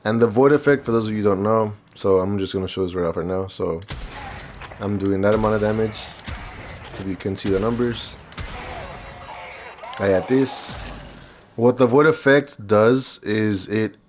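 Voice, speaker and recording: A man with a fairly low voice that sounds stuffed up and nasal. He talks like a gaming video host walking viewers through a showcase. His delivery is informal and rambling, often thinking out loud. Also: a little hiss or hum. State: congested from recovering from illness. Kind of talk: casual gameplay showcase commentary.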